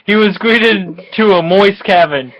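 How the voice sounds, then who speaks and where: A person giving a tipsy, mock-dramatic reading of comic fan fiction, halting and often giggly. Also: medium pitch, fairly bright tone, dull audio. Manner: giggly, frequently breaking into laughter; melodramatic, halting delivery.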